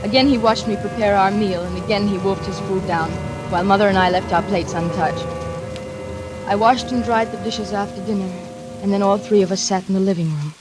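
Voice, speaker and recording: An actress playing a frightened, dutiful young daughter who narrates a 1940s radio thriller in a tense, earnest, measured way. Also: female voice, medium pitch, steady tone, over music, natural voice, rough recording. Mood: dread. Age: young adult.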